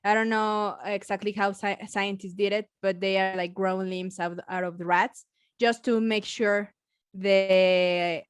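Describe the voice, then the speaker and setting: A woman with a Mexican accent, a biologist chatting casually as a podcast guest, halting with many restarts and frequent laughs. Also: medium pitch, steady tone, clear, resonant tone, no echo, clean studio sound. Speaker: biologist guest and science communicator.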